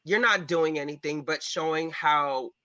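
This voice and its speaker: A man with a high, sharp voice argues his opinions bluntly and emphatically. He swears freely as a panelist in a heated discussion. The sound is dry and slightly dull. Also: unhurried, dry recording. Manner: blunt, foul-mouthed, emphatic. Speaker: panelist on a pop culture discussion show.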